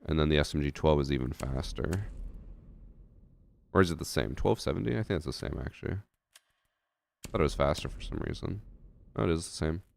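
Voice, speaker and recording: A man's voice: extremely low pitch, moderate pace, vocal fry, long pauses, a little mellow. A young man streaming a shooter game, chatting casually with his chat and thinking aloud with fillers, a little stuffy from a head cold.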